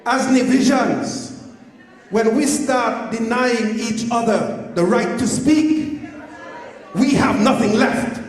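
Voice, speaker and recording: A man's voice: extremely high pitch, slow pace. A man speaking up emphatically and insistently from the floor of a public meeting. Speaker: audience member speaking up. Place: large public meeting hall. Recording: echoey hall with long reverb.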